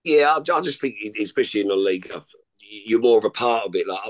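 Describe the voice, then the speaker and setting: A man's voice: lowish voice, lively intonation, fast talker. A middle-aged man, a podcast guest chatting in a loose, rambling way, often saying "like I say" and "listen". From England. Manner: rambling, informal, repeats "like I say" and "listen". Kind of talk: casual chat.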